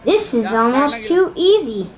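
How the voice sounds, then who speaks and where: Woman as a high-voiced, inquisitive monk companion. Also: big pitch swings, a little slow, over loud music. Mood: curious. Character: agreeable, inquisitive junior monk, loyal companion. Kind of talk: amateur audio play acting.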